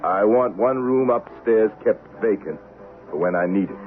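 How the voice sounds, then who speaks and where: A grim, mysterious stranger of the Old West played by a man speaks in a very low voice, terse, cold and deliberate. It is vintage radio drama, with thin narrow-band sound and faint music. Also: lightly acted. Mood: cold, commanding. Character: grim, mysterious stranger bent on revenge in a western. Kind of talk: 1970s radio drama acting.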